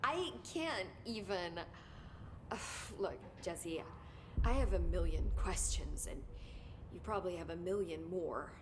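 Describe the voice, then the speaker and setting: An excitable young woman, a research assistant in a video game. She rambles eagerly and haltingly in a slightly low voice, with noise and rumble clearly behind her. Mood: amazed, eager. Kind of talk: video game acting, dialogue.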